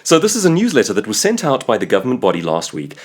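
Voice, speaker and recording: South African male host, 30s, husky, emphatic and sarcastic, hugely lively pitch. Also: quick pace. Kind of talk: opinion commentary monologue.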